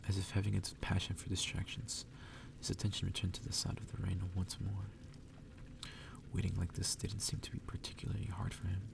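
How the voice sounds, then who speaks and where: A man reading a story aloud in a hushed, unhurried, breathy ASMR whisper. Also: low voice, completely flat delivery. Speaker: reader. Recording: distant mic with clear background noise.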